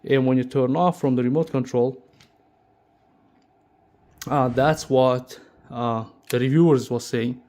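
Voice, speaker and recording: A man who speaks English as a second language gives a casual, unscripted product demo as a video host, talking haltingly and checking in with short tag questions. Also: medium pitch, fairly even intonation, mellow. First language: not English. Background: music playing, amplifier fan.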